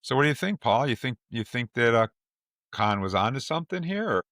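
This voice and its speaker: A man with a mid-pitched voice hosts a casual panel show. He moderates conversationally, and the sound is close and dry with no room to it. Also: big pitch swings, a little fast, fairly bright tone, no echo. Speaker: podcast host.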